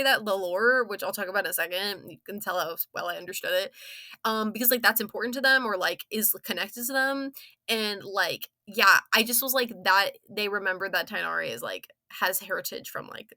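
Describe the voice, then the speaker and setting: Young female game reviewer, bright forceful tone, playful and slangy, loose rambling commentary. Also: mid-range voice, no room sound, studio quality. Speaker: video game story reviewer.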